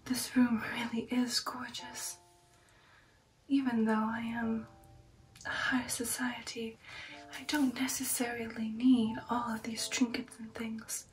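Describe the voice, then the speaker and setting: A breathy, whispering vampire noblewoman, played by a woman, tells her tale in an intimate ASMR roleplay. Her voice is fairly high and evenly pitched, with genteel poise and slow, sensual pauses. It sits in a roomy echo with music behind it. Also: flat delivery, distant mic. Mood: yearning, sultry. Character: female aristocratic vampire, poised, sensual, recounting her past. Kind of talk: ASMR roleplay storytelling, whispered and soft-spoken.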